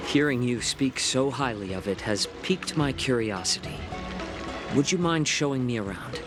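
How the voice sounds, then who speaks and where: A man voicing a composed, philosophical advisor in a video game, with measured, polite, deliberate phrasing. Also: mid-range voice, animated, natural voice. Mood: polite, curious. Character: composed, wry, philosophical adult male advisor. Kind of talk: scripted video game voice acting. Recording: game dialogue under clear background music. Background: game music.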